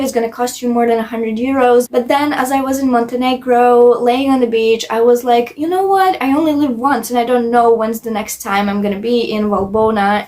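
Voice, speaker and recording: Higher-voiced young woman, chatty. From Latvia. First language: Latvian. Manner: emphatic, chatty, repeats intensifiers. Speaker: travel vlogger. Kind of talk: casual personal storytelling.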